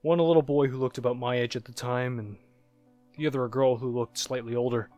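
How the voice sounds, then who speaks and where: A man voicing a haunted narrator who looks back on disturbing childhood memories in a first-person horror story, halting and reflective, like a confession. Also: medium pitch, steady tone, darkish tone, dry recording, natural voice. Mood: uneasy, recalling. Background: music.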